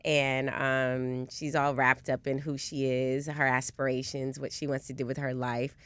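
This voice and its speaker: A woman's voice: extremely low pitch, level tone, measured pace, fairly bright tone, smooth, dry recording. A woman speaking casually as an interview guest. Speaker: stage actress guest.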